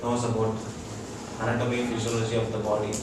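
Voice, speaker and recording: A man's voice: fairly low pitch, completely flat delivery. Indian-accented man lecturing in a very echoey room. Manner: halting, explanatory, checks listeners follow. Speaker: doctor giving a wellness lecture. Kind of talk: informal educational talk to a group. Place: echoey room with a small audience. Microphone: distant from the speaker. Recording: very echoey room, distant mic, loud noise.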